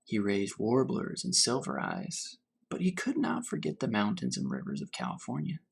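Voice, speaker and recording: A man reading a storybook aloud as a teacher for children, pausing to put questions to his young listeners. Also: fairly low pitch, wildly swooping pitch, vocal fry, husky. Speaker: teacher reading to fourth graders.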